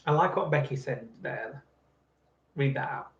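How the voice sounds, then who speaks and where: A man's voice: medium pitch, measured pace. A man in his forties co-hosting a live stream, playfully insisting on his point in casual banter. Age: 40s. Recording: echoey, slightly dull live stream sound.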